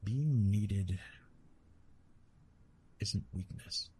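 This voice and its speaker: A man playing a retired god of love in an intimate ASMR roleplay, tender and teasing, speaking softly with a husky, breathy voice and halting, reassuring delivery. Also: lowish voice, exaggerated voice. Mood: gently reassuring. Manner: gentle, reassuring, halting with pauses and self-corrections.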